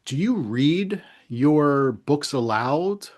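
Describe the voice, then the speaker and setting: A man's voice: a little high, expressive, extremely slow. Man hosting a casual, rambling interview. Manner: informal, rambling, tentative phrasing. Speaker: interviewer, host.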